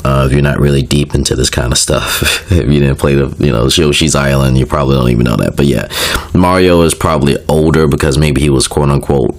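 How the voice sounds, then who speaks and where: A man's voice: rumbling low, fairly even intonation, brisk. A young man getting over a cold, sounding a little nasal, casually explaining video game lore with lots of fillers, asides and self-corrections. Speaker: video creator.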